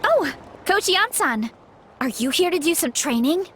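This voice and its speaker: A woman's voice: very high voice, sing-song, unhurried, fairly bright tone, a little hiss or hum, heavily acted. An actress playing a sweet, easygoing young farm girl in a video game, eager, modest and polite. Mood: eager, admiring. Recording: music playing behind the voice.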